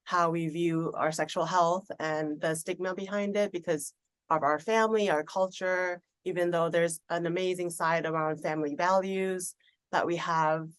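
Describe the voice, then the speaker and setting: Podcast host: woman from Thailand, lowish voice, relaxed chat, dry close mic. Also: moderate pace, clear, resonant tone, no room sound. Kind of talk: casual podcast interview.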